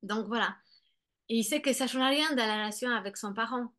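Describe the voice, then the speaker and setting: A woman with a somewhat high voice talks through an expert explanation in conversation. She hesitates often, restarts her sentences and fills the gaps with small sounds. Now and then she slips into the voices of children and parents to show her point. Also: brisk, fairly bright tone. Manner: hesitant, many fillers and restarts; acts out children's and parents' words. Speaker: guest expert on bilingual children.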